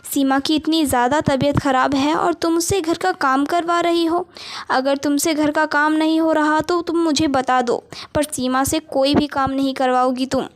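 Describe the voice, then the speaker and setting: Woman voicing a father figure, stern, scolding, loud, storybook. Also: high-pitched, fairly even intonation, moderate pace, natural voice. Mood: angry. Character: stern, angry protective father.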